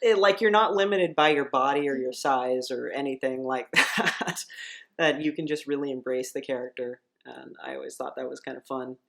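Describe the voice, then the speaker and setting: A woman's voice: a bass voice, moderate pace, gravelly, a bit bright. A woman hosting a podcast, speaking in a casual, chatty style. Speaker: podcast host and writer.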